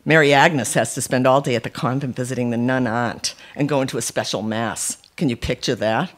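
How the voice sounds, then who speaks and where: A man's voice: mid-range voice, brisk, fairly bright tone, close to an everyday voice. A man voicing a chatty, blunt, well-behaved teenage girl in a story, with a gossipy manner. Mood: chatty, needling.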